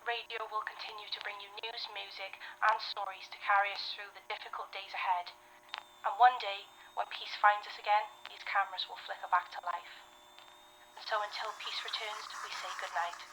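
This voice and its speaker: A woman's voice: mid-range voice, steady tone, brisk, sharp tone, soft background music, poor audio. A woman playing a composed, formal 1930s television announcer, speaking solemnly and with careful enunciation. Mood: solemn, reassuring. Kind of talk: 1930s broadcast announcement acting.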